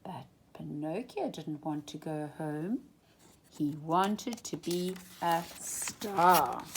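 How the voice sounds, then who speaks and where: Slow, animated woman reading a storybook. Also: sits low, creaky, slight background noise. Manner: deliberate, dramatic emphasis. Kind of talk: expressive children's storybook reading.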